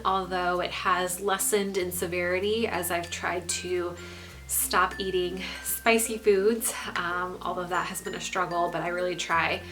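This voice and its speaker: A young woman with a stuffy, congested voice giving a candid pregnancy update, pausing often with ums. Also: on the low side. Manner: frequent ums, relaxed and candid. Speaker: pregnancy vlogger. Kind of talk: casual vlog update.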